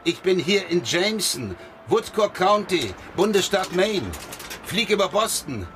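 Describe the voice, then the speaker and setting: Clear street-like noise surrounds a fairly high male voice. a desperate ex-cop in a radio crime play, urgent and pressing. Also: big pitch swings, a little slow, fairly bright tone, heavily acted. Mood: urgent, grim. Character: desperate Dutch ex-policeman in trouble, urgent, pressing. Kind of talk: German radio crime drama acting.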